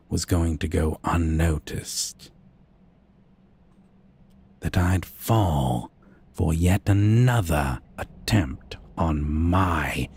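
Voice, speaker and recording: Sadistic male vampire for ASMR roleplay, acted by a man: extremely low, seductive, menacing, very drawn-out delivery. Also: darkish tone, exaggerated voice. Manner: slow, menacing, breathy taunting.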